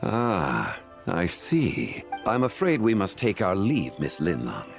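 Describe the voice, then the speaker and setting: A man voicing a refined, composed, scholarly gentleman in a video game, formal and courteous. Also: low voice, wildly swooping pitch, takes it slowly, over loud music, heavily acted.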